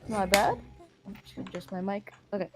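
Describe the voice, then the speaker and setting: A woman's voice: medium pitch, steady tone, fast, noisy background. A woman streaming a game in a casual, giggly chat style, swearing freely. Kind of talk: casual chat while gaming.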